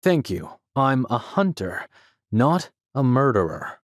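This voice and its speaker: An outraged, upright big-game hunter in a radio-style drama. He speaks in blunt challenges with highly animated pitch. The sound is dry and close. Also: slow pace, dry recording. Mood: firm, offended. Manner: forceful, blunt, morally outraged. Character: principled, indignant male big-game hunter, outraged guest. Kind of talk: audio drama acting.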